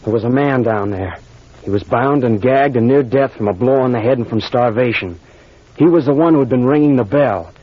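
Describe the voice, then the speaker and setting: A man's voice: mid-range voice, fairly quick, breathy edge, no echo, close to an everyday voice. A man acting as an earnest young adventurer in an old radio serial, urgently recounting a grim discovery. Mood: urgent, grave. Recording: dull vintage radio recording.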